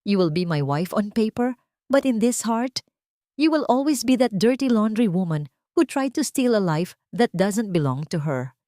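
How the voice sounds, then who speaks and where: A woman's voice: mid-range voice, sing-song, normal speed, warm tone, no echo, lightly acted. A woman narrator plays a cold, wealthy man in an audio drama. He speaks with bitter sarcasm and contempt, in a dry, close sound.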